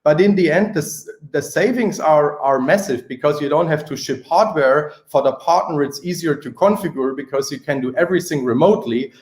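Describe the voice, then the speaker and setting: A man presenting a sales pitch in an emphatic, persuasive manner. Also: a little fast, few pauses, a little mellow. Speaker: company presenter. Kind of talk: sales pitch and question answering. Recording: roomy, echoing sound.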